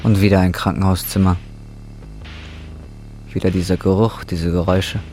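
A man's voice: low-pitched, level tone, normal speed, warm tone, soft background music, close to an everyday voice. An actor playing a troubled, bitter man in his twenties, brooding and intense in radio drama narration and dialogue. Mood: lonely, longing. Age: 20s.

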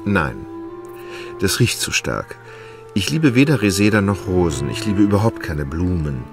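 An actor playing an idle, lovesick gentleman in a radio play, anxious and tender, speaking haltingly and evasively. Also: sits low, sing-song, music in the background, heavily acted. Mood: awkward, hesitant. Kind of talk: radio drama acting.

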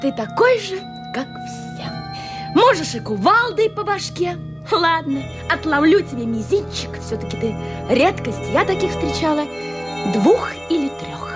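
A woman's voice: high voice, very animated, a little slow. An actress playing a naive, tender but touchy golden woman in a theatrical, exclamatory radio play style. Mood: hurt, flustered. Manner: coquettish, exclamatory, swings from coaxing to indignation. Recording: studio radio play with music.

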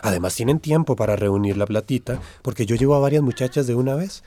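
An actor playing a pious, reassuring, deceitful pastor in a radio drama. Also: male voice, medium pitch, quick pace, dark tone, lightly acted. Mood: reassuring, persuasive. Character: smooth-talking, pious pastor who deceives families.

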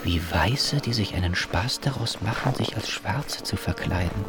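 A man's voice: on the low side, lively intonation, normal speed, close to an everyday voice. An actor in a radio drama playing a haunted, laconic optician and war survivor, wry and resigned in his fatalism. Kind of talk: radio drama interjections over narration. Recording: loud music behind the voice. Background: music.

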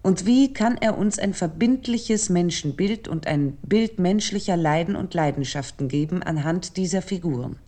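A woman performing as a thoughtful essayist, narrating a scripted radio essay in a measured, articulate, formal way. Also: lowish voice, a little mellow.

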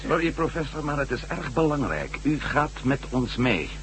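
An actor playing a bold, earnest ex-astronaut adventurer in a Dutch science-fiction radio drama. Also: male voice, mid-range voice, very animated, normal speed, fairly bright tone. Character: bold male ex-astronaut reporter turned adventurer. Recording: noisy, humming old radio recording.

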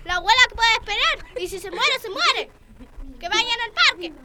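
A woman's voice: very high voice, expressive, normal speed. A young girl play-acting in an improvised amateur radio play, bossy and emphatic, on a noisy recording. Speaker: drama student.

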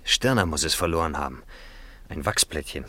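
A man's voice: very deep voice, animated, moderate pace, pushed and bright, no echo, exaggerated voice. An actor playing a dry, matter-of-fact village garage man in a German radio play, speaking tersely. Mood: wary, explaining. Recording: noisy vintage radio drama recording with clicks.

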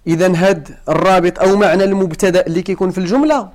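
A man's voice: high-pitched, steady tone, racing. A man with a Moroccan accent teaching grammar to a class, asking students questions and repeating each point for emphasis. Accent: Moroccan Arabic. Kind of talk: classroom lesson.

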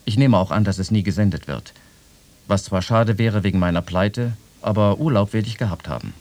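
An actor reading the letters of a wry, worried writer for a radio feature, reflective, dry and carefully phrased. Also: male voice, lowish voice, brisk, a little mellow, dry recording, lightly acted. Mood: rueful.

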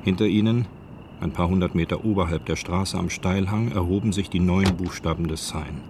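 A man's voice: deep voice, steady tone, normal speed, noisy background. A man as a sober storyteller, reading scripted literary narration of a crime story in a radio drama. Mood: measured.

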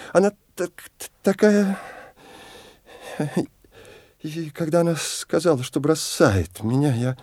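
Male, anguished, obsessive husband in a radio play; husky, dry studio sound, impassioned repetitions. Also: a little high, mellow, no room sound, heavily acted. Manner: halting, repeats himself, impassioned. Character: desperate, bitter husband from the provinces, obsessively in love. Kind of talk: audio drama acting.

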